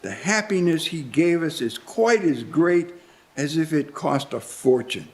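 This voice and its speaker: A man's voice: fairly high pitch, animated, unhurried. A man acting as a wistful old miser in a live radio play, emotional and given to exclaiming. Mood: earnest, regretful. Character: wistful, excitable old male miser softened by memories.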